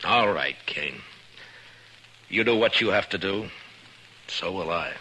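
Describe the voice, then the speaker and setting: An actor playing a dogged, stern frontier marshal in a 1950s western radio drama, questioning tersely and wryly on a noisy old recording. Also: male voice, low voice, animated, takes it slowly. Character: male frontier marshal, dogged, wry, stern about the law.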